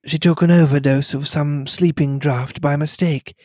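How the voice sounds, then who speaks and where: A man reading the lines of a reserved young English wife, speaking quietly and in a measured way. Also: on the high side, steady tone, husky, no echo, clean studio sound. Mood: thoughtful.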